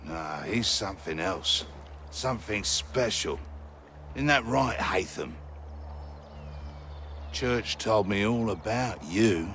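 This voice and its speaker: An actor playing a gruff, bossy gang henchman in a video game, smug and sneering with a conspiratorial manner. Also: lowish voice, expressive, slow, long pauses, a bit bright, a little hiss or hum, full character voice. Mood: smug, taunting.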